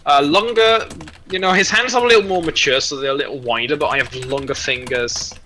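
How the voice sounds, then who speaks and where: A man's voice: on the high side, brisk, bright, forceful tone, slight background noise. A man chatting casually on a stream in a blunt, rambling, sweary way. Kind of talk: casual chat.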